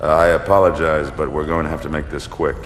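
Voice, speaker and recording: A formal senior admiral speaks in a deep, ceremonial voice. Soft music plays in an echoey game cutscene. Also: male voice, little pitch movement, fast talker.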